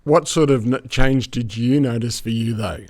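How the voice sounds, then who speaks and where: A man hosting a podcast and asking a guest calm, measured questions. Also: mid-range voice. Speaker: podcast host. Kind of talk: interviewing a guest.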